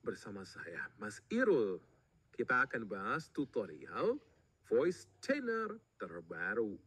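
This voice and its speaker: A man's voice: on the low side, wildly swooping pitch, takes it slowly, a bit bright, husky, exaggerated voice. A man's AI voice-changed delivery of a channel greeting, with an English-tinged accent.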